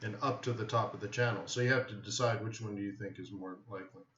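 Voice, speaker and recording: A man's voice: fairly low pitch, fairly even intonation, fast talker, fairly bright tone, a little hiss or hum. A man hosting a live webinar with casual, offhand commentary, thinking aloud and often checking in with "right?". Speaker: host of a live trading webinar. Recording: dull, roomy, echoey webinar audio.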